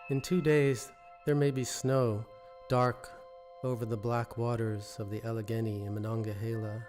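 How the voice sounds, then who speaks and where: A man reads his own poetry in a measured, deliberate way, pausing between short phrases. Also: mid-range voice, level tone. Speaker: poet reading own work. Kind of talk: poetry reading. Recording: musical score under the voice. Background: original instrumental music.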